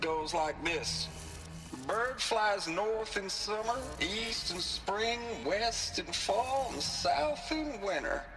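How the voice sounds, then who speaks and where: A man's voice: sits high, animated, slow pace, over music, exaggerated voice, low-quality recording. A man playing a folksy, boastful technician in a video game audio log, jokey and showy with a sing-song way of reciting. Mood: playful, smug. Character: folksy, boastful male technician in an old recording.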